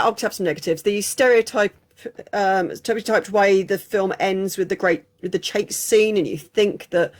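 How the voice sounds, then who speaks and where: An English woman chatting casually through an unscripted film review, frank and prone to digressions. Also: mid-range voice. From England. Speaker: film reviewer, channel host.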